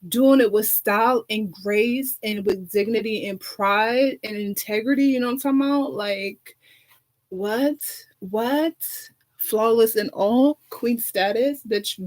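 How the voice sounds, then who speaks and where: A woman hosting her own show in a sassy, flamboyant way, with dramatic exclamations, sighs and self-hyping slang. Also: mid-range voice. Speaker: show host. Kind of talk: sassy casual chat with shout-outs and ad reads.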